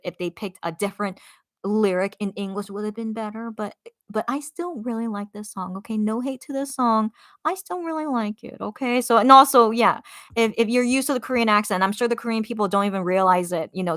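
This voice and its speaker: Bright, chatty young woman host. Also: a little high, no room sound, clean studio sound. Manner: chatty, reassuring, repeats phrases. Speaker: livestream radio host. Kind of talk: casual chat reading viewer comments.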